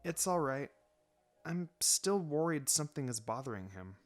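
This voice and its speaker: A gentle young ninja girl, as a man reads her. She talks softly and hesitantly, full of care, in a storybook reading. Music sits under the voice. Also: fairly high pitch, big pitch swings, moderate pace. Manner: soft, pleading, hesitant. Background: background music.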